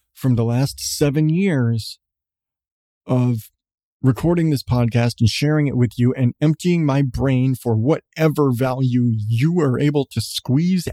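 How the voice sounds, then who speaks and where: A middle-aged man hosting his podcast with casual, profane rambling and frequent laughs in a calm voice. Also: medium pitch, no room sound, clean studio sound. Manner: profane, candid, laughs often. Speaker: podcast host. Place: seated at home on a creaky chair.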